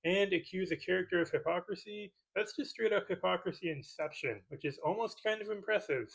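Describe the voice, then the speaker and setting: A man with a fairly high voice hosts a scripted comedic commentary. He sounds sarcastic and pedantic, picking at each point as he reads. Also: a little fast, creaky, a bit bright, breathy edge, rough recording. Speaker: host of a movie-criticism parody video.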